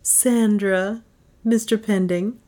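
A narrator puts on a starry-eyed woman office worker from a comic story. She is breathy and fawning, with a raised, lilting voice that gushes in easy adoration. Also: a little high, expressive, unhurried, mellow, pitched above the performer's own voice. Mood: smitten.

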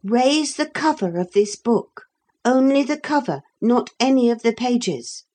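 A woman voicing an earnest, excited young boy hero in a storybook reading. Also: a little high, a little slow, pitched above the performer's own voice. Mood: urgent, commanding. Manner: urgent, excited.

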